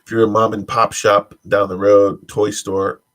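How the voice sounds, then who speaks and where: A man's voice: on the low side, flat delivery, a little slow, a bit bright. A man who says he is congested, hosting a stream where he reads tech news aloud and adds dry, wry asides. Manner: dry, wry asides between stumbling read-outs. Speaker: stream host. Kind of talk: reading tech news aloud with offhand commentary.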